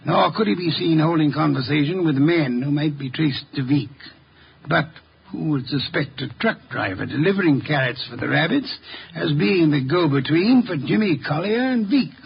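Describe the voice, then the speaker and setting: A pompous eccentric detective, husky and deliberate, old muffled radio show. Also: male voice, on the high side, expressive, full character voice. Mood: explaining. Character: brilliant, eccentric, haughty middle-aged male detective. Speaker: radio actor in a detective series. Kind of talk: 1950s radio drama acting. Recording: muffled 1950s radio recording.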